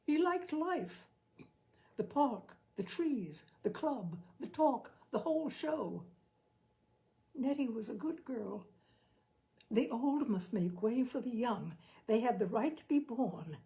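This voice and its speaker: Female story narrator, unhurried and fairly high-pitched, dramatic delivery with weighted pauses. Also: lively intonation, dark tone. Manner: dramatic, deliberate, with lingering pauses and repeated emphasis. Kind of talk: expressive literary audiobook narration.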